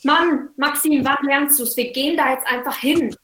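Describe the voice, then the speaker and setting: A woman acts out a brash young detective in an amateur radio play. The voice is fairly high, casual and blunt. It sounds roomy and echoey. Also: normal speed, fairly bright tone, slight background noise. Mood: impatient.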